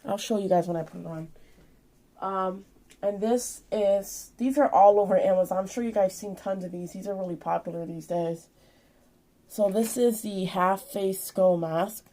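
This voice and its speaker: A woman in her twenties with a medium-pitched voice presents items in a casual vlog. She explains each thing in an informal, rambling way, as if demonstrating it by hand. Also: fairly even intonation, moderate pace, warm tone. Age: 20s. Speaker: vlogger showing purchases. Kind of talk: casual show-and-tell vlog.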